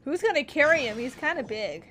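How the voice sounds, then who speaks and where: A young woman giving casual, expressive reaction commentary as a gaming streamer, exclamatory and slangy. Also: high-pitched, fairly quick. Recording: game music under the commentary.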